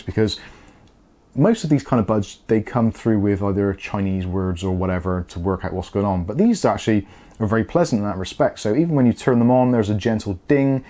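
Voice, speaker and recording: Low, mellow British man reviewing gadgets. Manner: conversational, explanatory. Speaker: product reviewer. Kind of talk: casual unboxing review to camera. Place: small home studio room, indoors.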